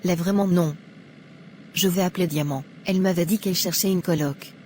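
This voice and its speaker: A woman playing a judgmental young churchgoer in an animated moral drama, chatty and smug. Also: on the low side, fairly quick, a little hiss or hum, close to an everyday voice. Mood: smug disapproval. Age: young adult.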